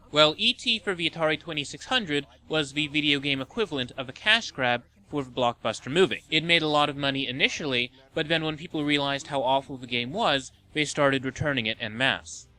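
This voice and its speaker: A man's voice: on the high side, sharp tone, low-quality recording. A man hosting and narrating a scripted video essay in an opinionated, sardonic manner. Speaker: host of a video game movie list show.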